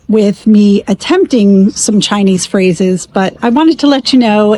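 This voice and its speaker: A woman's voice: mid-range voice, a little mellow. A woman content creator chatting to her followers about plans to start live streams.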